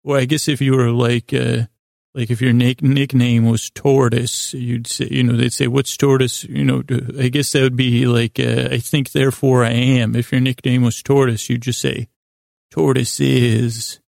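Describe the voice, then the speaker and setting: Guy doing a sleep podcast, super creaky gravelly voice, rambles and stumbles around, really dry close mic. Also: mid-range voice, flat delivery, a little mellow, no room sound. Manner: meandering, halting, repeats himself, absurd asides. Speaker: podcast host. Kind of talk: rambling bedtime monologue to help listeners sleep.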